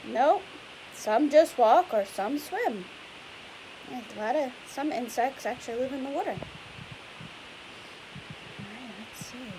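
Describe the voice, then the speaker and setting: Lively woman reading to children. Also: high-pitched, measured pace, long pauses. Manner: chatty and explanatory, asks children questions. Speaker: teacher reading aloud. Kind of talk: read-aloud lesson for children. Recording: faint hiss.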